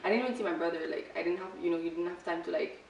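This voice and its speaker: Low, creaky eighteen-year-old woman in an echoey room. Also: very fast, distant mic, highs cut off. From Lebanon. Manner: relaxed, reflective, many "like" fillers. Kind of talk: casual personal answers to camera. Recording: echoey room, speaker set back from the mic, faint hiss.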